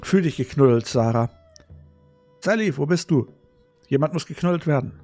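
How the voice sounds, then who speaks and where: A man's voice: fairly high pitch, animated, moderate pace, a little mellow, soft background music. A man chatting casually as he hosts a live gaming stream.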